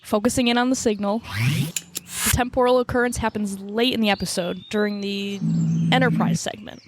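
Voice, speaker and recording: A woman's voice: mid-range voice, moderate pace, noisy background, close to an everyday voice. A woman playing a wry, vacation-loving time-crimes officer in a radio drama parody. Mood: focused.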